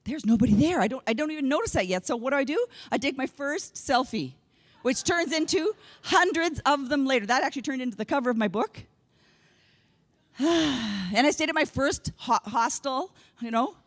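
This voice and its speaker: Woman in her fifties, keynote speaker, self-mocking comic storytelling, high voice, lively swooping pitch. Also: normal speed. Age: 50s. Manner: animated, self-mocking, quotes herself in voices. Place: conference hall stage.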